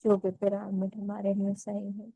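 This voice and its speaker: A woman leading a guided meditation as instructor, speaking in a measured, gently instructive way with pauses between phrases. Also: medium pitch, completely flat delivery, creaky, slightly rough, no echo, low-quality recording.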